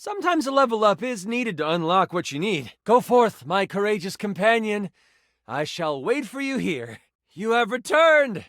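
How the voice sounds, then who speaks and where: A man's voice: high voice, very animated, moderate pace, fairly bright tone, dry recording, clean studio sound. An actor voicing a personified gaming die as a nerdy, earnest, awkward man who stammers and gets theatrical, in video game style. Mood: excited, heroic. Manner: hesitant, stammering, effusive, theatrical gamer talk.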